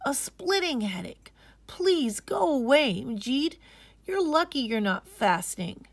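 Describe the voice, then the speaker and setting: Woman acting a high, grumpy older sister. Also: very animated, slow pace, vocal fry, no echo, full character voice. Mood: weary, cross.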